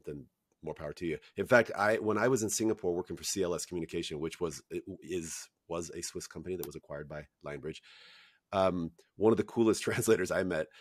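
A man's voice: low-pitched, no echo, studio quality. An older man hosting a podcast interview from a hotel room over a video call, chatty with rambling asides. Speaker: podcast host. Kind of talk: conversational interview. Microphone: headset mic on video call.

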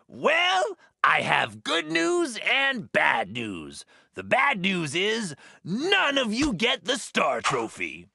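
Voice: mid-range voice, huge pitch swings, slow pace, creaky, few pauses, pushed and bright, breathy edge, dry recording, clean studio sound, exaggerated voice. An actor playing a cheerful cartoon star who hosts a children's game show in a showy, encouraging way. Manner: showy, encouraging announcer delivery. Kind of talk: children's educational cartoon acting. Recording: music behind.

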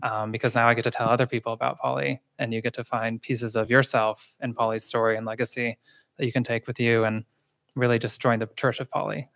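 Male panel guest, gravelly and flat, measured storyteller, handheld mic onstage. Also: medium pitch. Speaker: panel guest, impact producer. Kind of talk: panel discussion on stage. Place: small stage before a live audience.

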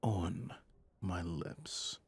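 A man playing a flirtatious, possessive mafia boss in an intimate ASMR roleplay, teasing and menacingly smooth, with a husky, breathy delivery. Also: animated, no echo, full character voice. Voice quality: low, husky, often dropping to a whisper. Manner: slow, teasing, intimate, with pet names. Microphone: close studio microphone, dry.

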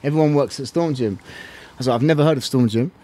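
A middle-aged man with a southern English accent, telling stories casually as an interview guest, in animated, slangy, swear-laden talk that acts out remembered exchanges. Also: medium pitch, fast. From England. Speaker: interview guest, former kickboxing champion.

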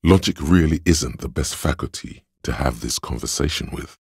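An actor playing an inner voice of cold deductive logic in a video game, measured, deliberate and dryly condescending, with a gravelly, husky voice. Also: male voice, rumbling low, no room sound, clean studio sound, heavily acted. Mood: dry, resigned. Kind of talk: video game voice-over, scripted narration. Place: dry studio recording.

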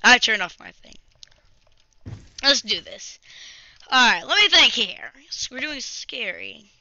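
A young gamer hosting a casual video, chatting and improvising a story in a halting, rambling way. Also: mid-range voice, wildly swooping pitch, bright, forceful tone, no echo. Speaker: video host and player. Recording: dull lo-fi webcam-grade audio.

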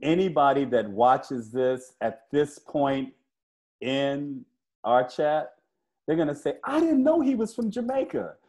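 A man's voice: medium pitch, very animated, unhurried, a little mellow. A middle-aged American man casually interviewing someone over a video call from an indoor room. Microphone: wired earphones on a video call.

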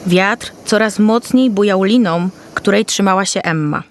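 A woman's voice: medium pitch, measured pace, slight background noise. A woman storyteller reading a scripted fairy tale for children.

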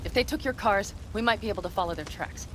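A woman's voice: mid-range voice, fast, a bit bright, slight background noise, lightly acted. A woman playing a fierce, loyal, stubborn young nomad weighed down by guilt in a video game drama. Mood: grim, resolute.

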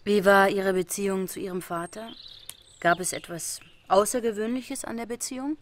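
An actress playing a sober, persistent female investigator in a German crime radio drama, with a deliberate, questioning manner. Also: medium pitch, natural voice. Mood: probing.

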